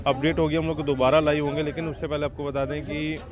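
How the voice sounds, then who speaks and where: A man reports live from an outdoor protest and interviews people there, speaking plainly with small fillers. His voice sits a little high, and a crowd is clearly heard behind him. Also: level tone, fast. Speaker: local news reporter. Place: outdoors at a police station protest. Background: crowd noise.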